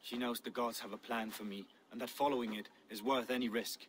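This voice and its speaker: Guy doing a mystical viking dreamer, medium pitch, solemn n dramatic, video game scene, bit of background ambience. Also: fairly even intonation, brisk, a little hiss or hum, close to an everyday voice. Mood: solemn, fervent. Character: mystical Viking seer driven by visions, earnest. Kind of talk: video game cutscene dialogue.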